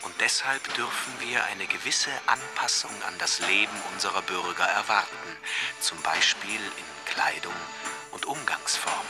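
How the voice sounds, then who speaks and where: An actor playing a smug tour-guide voice in a radio drama, speaking formally and pompously with a cool threat beneath it. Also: male voice, low voice, moderate pace, lightly acted. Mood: solemn, self-satisfied. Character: smug, menacing male tour-guide voice on audio tape. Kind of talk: scripted propaganda narration in radio drama. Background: music.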